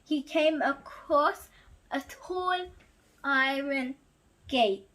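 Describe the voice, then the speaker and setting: A woman's voice: high-pitched, extremely slow. A female host reading a story aloud expressively, with exclaimed asides to the viewers. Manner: animated, exclaiming reactions.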